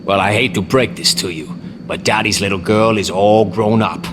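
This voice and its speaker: An actor playing a cocky, foul-mouthed warrior for hire in a video game, sarcastic and flippant in manner. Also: male voice, lowish voice, fairly even intonation, normal speed, background noise, lightly acted. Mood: mocking. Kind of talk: video game action-comedy acting.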